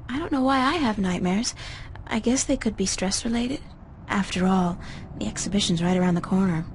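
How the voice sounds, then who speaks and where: A woman's voice: medium pitch, fairly quick, lightly acted. Woman as a wry young art student, faint noise. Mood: weary, troubled. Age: young adult. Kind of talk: conversational video game voice acting.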